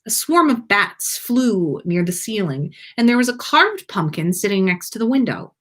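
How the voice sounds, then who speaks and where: A woman reading a story aloud in an expressive, scripted storytelling style. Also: medium pitch, normal speed. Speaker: fan fiction reader on a podcast livestream.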